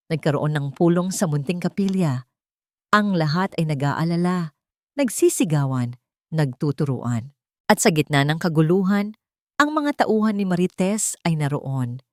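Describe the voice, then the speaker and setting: A woman with a low-pitched voice narrates a scripted audio drama in the first person. Her delivery is measured and deliberate, as a storyteller's. The sound is dry, with very little room in it. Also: a little mellow, no echo.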